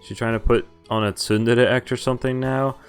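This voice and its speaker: A man's voice: medium pitch, steady tone, brisk, clear, resonant tone. A man streaming a visual novel, reading its narration and dialogue aloud in a casual, lightly acted way, with offhand reactions. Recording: music playing behind the voice. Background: game music.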